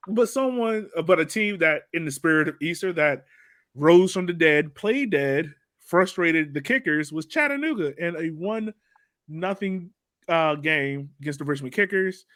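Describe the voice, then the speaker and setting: A man with a fairly high voice hosts a sports podcast. He talks casually in a chatty, slangy, jokey way. The sound is dry, with very little room to it. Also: lively intonation, a little slow, fairly bright tone, no echo. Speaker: podcast host.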